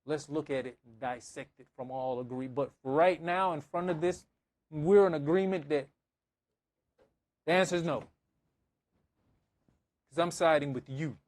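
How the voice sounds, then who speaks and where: Higher-voiced man preaching conversationally. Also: unhurried, pauses often, a little mellow. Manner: emphatic, repeating phrases, acting out dialogue. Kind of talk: conversational sermon with scripture reading and anecdotes.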